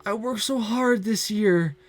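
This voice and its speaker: A man voicing a sweet, clumsy young female Santa who sobs over her broken toys in a high, breaking falsetto. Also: big pitch swings, normal speed, faint music behind. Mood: tearful. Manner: high breaking falsetto, sobbing and self-pitying. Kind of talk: amateur voiced story reading.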